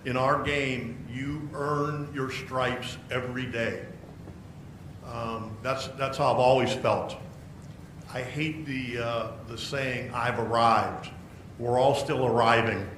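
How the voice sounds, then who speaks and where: Man, baseball manager, slow measured speech, echoey press clip, faint ambience. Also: medium pitch, steady tone, a bit bright, slight background noise. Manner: measured and reflective. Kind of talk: press conference answer.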